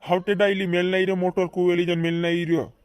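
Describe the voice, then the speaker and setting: A practical cartoon village man voiced by a male actor with a fairly high voice and flat melody. He speaks insistently, repeating himself in a rural western Madhya Pradesh accent. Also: a little fast, a little hiss or hum, lightly acted. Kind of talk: cartoon comedy dialogue in rural dialect.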